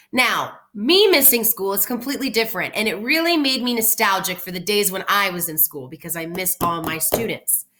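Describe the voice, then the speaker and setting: A woman speaking as an elementary teacher, expressive and encouraging, in simple words for children and their families. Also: mid-range voice. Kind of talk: children's read-aloud with casual talk to families.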